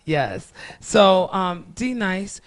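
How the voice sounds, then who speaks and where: A woman hosting an interview show, chatting playfully and lively with her guest. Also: medium pitch, very slow. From New York, Queens. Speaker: show host. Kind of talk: casual interview chat.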